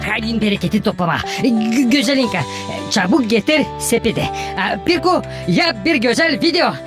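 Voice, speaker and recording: A woman's voice: fairly high pitch, expressive, moderate pace, natural voice. A woman voicing a bold, boastful young cartoon character, playful and emphatic in manner. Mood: excited. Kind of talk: animated cartoon acting. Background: music.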